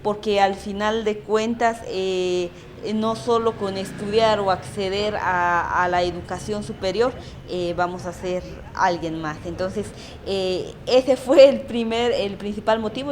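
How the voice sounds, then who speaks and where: Thoughtful young Guatemalan woman, pausing often. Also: mid-range voice, a little hiss or hum. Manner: thoughtful, many pauses with "eh". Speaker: interviewee, activist. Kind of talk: reflective interview answer.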